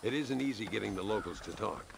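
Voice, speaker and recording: Gravelly old American gunslinger. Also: male voice, fairly low pitch, expressive, background noise. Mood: steady, authoritative. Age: older. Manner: folksy, sardonic, unhurried authority. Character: proud, principled old gunslinger mentoring a younger man. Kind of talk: video game cutscene acting.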